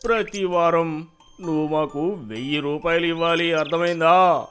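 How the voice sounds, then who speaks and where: A performer voicing a greedy, bullying village gangster in an animated story, with a menacing, drawn-out way of taunting. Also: mid-range voice, natural voice. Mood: bullying, demanding. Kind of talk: animated moral story character voice. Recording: music behind the voice.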